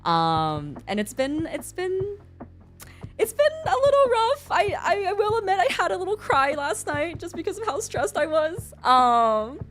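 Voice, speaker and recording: A woman's voice: very high voice, very animated, measured pace, a bit bright, faint music behind. A young woman with an American accent and Mandarin as her first language, chatting casually as a livestreamer in a giggly, talkative way. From born in China, raised in the US from about age six. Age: young adult. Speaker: streamer.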